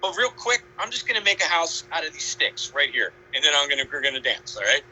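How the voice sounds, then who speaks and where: A man acts out a laid-back young pig in a homemade storybook play. He talks casually, like a slacker who would rather dance. The voice is very bright and lively in pitch, with faint noise behind it. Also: fast talker, heavily acted, low-quality recording. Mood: breezy, distracted. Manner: slacker drawl, casual, noncommittal.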